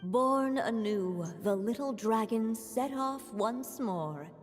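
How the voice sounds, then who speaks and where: A woman narrating a fairy tale for a video game in a solemn, measured storyteller's manner. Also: a little high, animated, in a large hall, music in the background.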